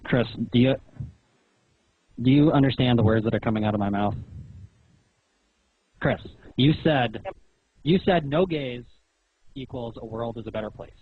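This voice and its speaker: A man's voice: mid-range voice, normal speed, pauses often, breathy edge. A man on a phone call, questioning and explaining in an insistent, lecturing manner. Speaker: phone caller. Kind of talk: pointed questioning in a phone conversation. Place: recorded telephone call. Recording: muffled, roomy phone call recording.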